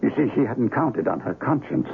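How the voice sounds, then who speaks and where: An actor playing a grave, learned investigator of the supernatural in a radio mystery, speaking formally and deliberately. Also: male voice, medium pitch, animated, creaky. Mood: grave, knowing. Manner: precise, formal, deliberate, theatrical. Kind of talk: radio mystery drama acting. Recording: noisy dull radio broadcast recording.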